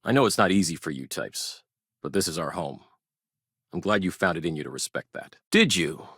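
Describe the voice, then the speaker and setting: Video game colony farmer, stern guarded family man. Lowish register, very animated swings, plain folksy manners. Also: a little fast, breathy edge, dry recording, clean studio sound, full character voice. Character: protective, wary traditionalist farmer and family man in a space colony. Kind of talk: video game character dialogue.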